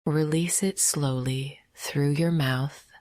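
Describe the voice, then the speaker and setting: A woman leading a guided meditation in a soft, half-whispered, gentle and soothing voice. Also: sits low, fairly even intonation, slow pace, no echo, clean studio sound.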